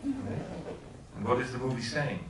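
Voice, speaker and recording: A man's voice: medium pitch, wildly swooping pitch, slow, distant mic, a crowd in the background. A middle-aged man from Switzerland, a professor, giving an informal talk and asking the audience questions. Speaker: guest speaker. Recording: echoey room, voice heard from afar.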